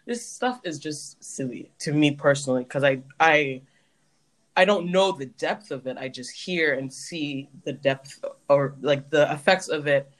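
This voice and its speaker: A woman's voice: sits low, measured pace. A woman, co-hosting a podcast, telling a personal story in a reflective way with lots of fillers. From Canada. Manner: reflective, uses many fillers like um and like. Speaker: podcast co-host. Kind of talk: personal storytelling in casual chat.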